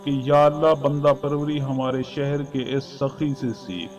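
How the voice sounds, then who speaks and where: A man's voice: on the high side, level tone, a little mellow, recorded from a distance, natural voice. A man voicing a destitute, shivering poor man in a moral story who complains bitterly to God in formal, plaintive words. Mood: bold reproach. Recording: muffled lo-fi voice over music.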